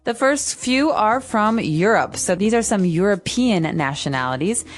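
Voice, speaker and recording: An American woman co-hosting an English lesson podcast, explaining words in a clear, careful way. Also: mid-range voice, lively intonation, moderate pace. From United States. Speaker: podcast co-host, English teacher. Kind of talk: scripted teaching dialogue.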